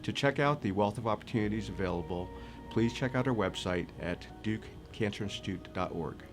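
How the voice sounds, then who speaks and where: Low-voiced man presenting over music. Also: lively intonation, brisk. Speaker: cancer research training director. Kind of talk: promotional explanation to camera. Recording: music bed behind the voice.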